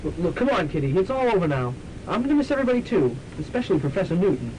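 Man as a excitable college hero, swooping pitch. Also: rapid-fire. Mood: dramatic, urgent. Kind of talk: campy college radio drama acting. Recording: noisy amateur student radio recording.

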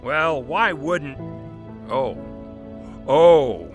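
An actor playing a brisk, persuasive newspaper boss in an audio drama, confident and explanatory. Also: male voice, a little high, expressive, over music. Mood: pushy, skeptical. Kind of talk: family audio drama acting.

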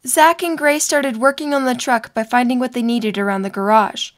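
A woman's voice: fairly high pitch, steady tone, moderate pace. A young woman reading scripted story narration for an audio drama.

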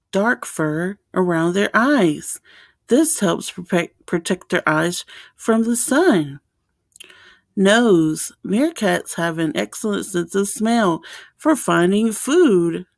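A woman reading a children's picture book aloud as a storytime host, explaining things simply and deliberately for young listeners. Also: medium pitch.

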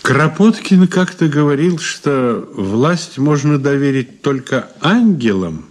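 A man's voice: on the high side, very animated, slow pace, slight background noise. An actor in a Soviet radio drama playing a sardonic suspect who muses and taunts his questioner slyly. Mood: sardonic, taunting. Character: male detained suspect, sardonic, philosophizing, taunting.

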